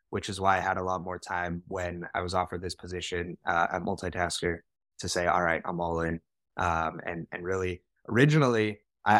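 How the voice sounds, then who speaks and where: This is a young man in his twenties with a somewhat low voice. He tells his story as a podcast guest, quick and casual, and he keeps dropping in "like" and "right?". The recording is dry and close. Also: a bit bright, dry recording. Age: 20s. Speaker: podcast guest, real estate director. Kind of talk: conversational storytelling.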